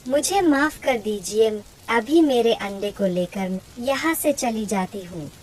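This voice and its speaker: A woman voicing a brash, scheming, sharp-tongued female bird in a cartoon story. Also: fairly high pitch, expressive, slow, rough recording. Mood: pleading. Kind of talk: Hindi cartoon story acting.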